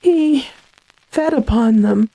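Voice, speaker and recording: A woman playing a frightened, dazed young heiress in a radio horror drama, with a halting, earnest way of talking. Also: on the high side, gravelly, mellow, dry recording, exaggerated voice, low-quality recording. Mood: halting, haunted.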